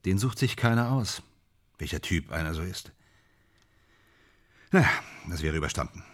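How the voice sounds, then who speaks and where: A man's voice: sits low, animated, moderate pace. A man playing a boastful, ambitious actor in a German radio drama, speaking in theatrical, self-dramatizing fragments. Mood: subdued, wistful. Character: boastful, ambitious male stage actor dreaming of Hollywood stardom.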